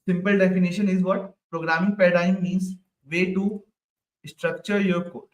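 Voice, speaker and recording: A high-pitched man runs a live online coding class. He keeps asking the class questions and tags "right?" onto his sentences. His voice sits a little away from the mic and rings in an echoey room. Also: flat delivery, moderate pace, distant mic. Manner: repeats questions to the class, ends lines with "right?". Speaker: programming instructor.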